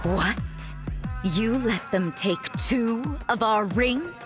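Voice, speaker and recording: Villainess commander for a game: cold, mockingly sweet, lowish voice, very unhurried, with dramatic swoops. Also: female voice, lively intonation, music in the background. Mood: displeased. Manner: slow, deliberate, theatrically mocking. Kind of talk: video game cutscene voice acting.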